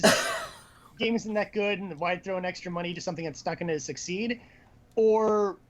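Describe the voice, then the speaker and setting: The audio is dull and lo-fi, like a voice chat. A co-host with a medium-pitched voice talks casually about games. The delivery is informal and opinionated, with some mild swearing. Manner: informal, opinionated, mildly profane. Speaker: live stream co-host.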